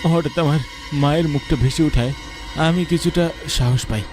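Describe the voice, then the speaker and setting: A man's voice: on the high side, normal speed, music in the background, natural voice. A man voicing a frightened young village boy who tells his ghost story in an earnest, dramatic first-person style for a horror cartoon. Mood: terrified, dramatic.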